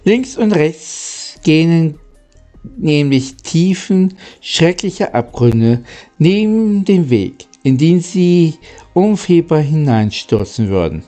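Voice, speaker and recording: A man reading the part of a polite, earnest guide who explains gravely and formally, halting at times, in a storybook. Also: medium pitch, expressive, mellow, faint music behind. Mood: earnest warning.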